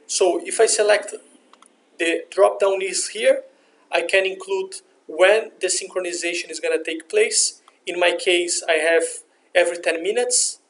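A man narrating a software demonstration in a step-by-step, instructional way. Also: high-pitched, a little slow. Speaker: software demo presenter. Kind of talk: scripted software tutorial narration. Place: screen recording voice-over.

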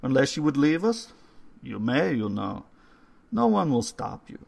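A man voicing an old undertaker who speaks in a formal, slow and ominous way. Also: mid-range voice, sing-song, gravelly, a little mellow, husky, voice pushed up, heavily acted. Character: old Hungarian male undertaker, courtly and eerie.

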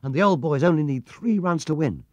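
A man voicing an eager, excitable schoolboy who exclaims over a cricket match in a storybook. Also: fairly high pitch, a little fast, dry recording, voice pushed up, close to an everyday voice. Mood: excited.

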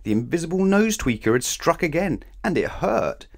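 A man reading a children's storybook aloud in an animated, playful way, speaking straight to young listeners. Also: on the high side, normal speed. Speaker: storybook reader. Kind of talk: expressive scripted children's story read-aloud.

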